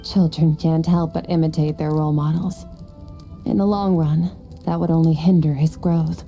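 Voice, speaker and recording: Game casting: aloof commanding swordswoman mentor, deep and very mellow, detached delivery, faint music underneath. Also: steady tone. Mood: detached, reflective. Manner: detached, measured, imperious. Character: aloof, coolly commanding woman warrior mentor, stern and unsentimental. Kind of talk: video game voice acting.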